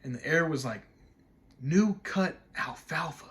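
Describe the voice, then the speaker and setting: A man performing a dramatic stage monologue as a wistful man recalling young love, telling it reflectively in short, measured phrases. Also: fairly high pitch, lively intonation, a bit bright. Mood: wistful, hushed. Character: wistful man haunted by a childhood night of love.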